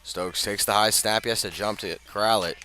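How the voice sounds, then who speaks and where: An American man calling live high school football on the radio, measured between plays and emphatic on the big ones. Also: steady tone, sharp tone, slight background noise. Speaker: play-by-play announcer.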